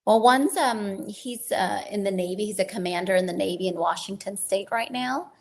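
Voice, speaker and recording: A woman's voice: mid-range voice, normal speed, gravelly. A woman interview guest speaking informally and telling personal stories in a casual, conversational way. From Vietnamese refugee family, raised in Germany and the US. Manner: informal, often says "you know" and "right".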